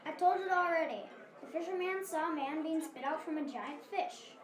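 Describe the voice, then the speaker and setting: A woman's voice: high-pitched, moderate pace, lightly acted. A woman playing a townsman passing on gossip in an amateur audio drama. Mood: matter-of-fact. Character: male gossip retelling a strange tale. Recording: echoey room with crowd noise.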